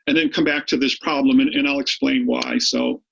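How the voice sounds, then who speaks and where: A man's voice: medium pitch, little pitch movement, fairly quick, gravelly, a bit bright, breathy edge, muffled recording. A middle-aged man with a Boston accent tinged by Maine, giving an online statistics review lesson in a chatty way, often repeating his words. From Boston area, United States. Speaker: high school statistics teacher.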